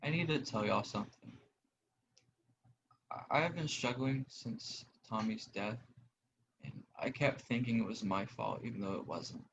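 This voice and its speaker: A man's voice: on the low side, animated, a little slow, pauses often. A young man acting in a student play as a grieving, lonely gamer, hesitant and earnest. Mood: troubled, weary. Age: young adult. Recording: dull audio with clear noise.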